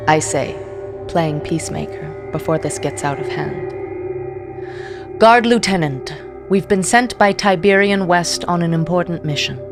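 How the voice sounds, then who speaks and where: A woman playing a tense, conflicted soldier who narrates her own story in a scripted, dramatic audio drama style. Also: low voice. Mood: urgent. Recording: long reverb, music under the voice. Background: dramatic music.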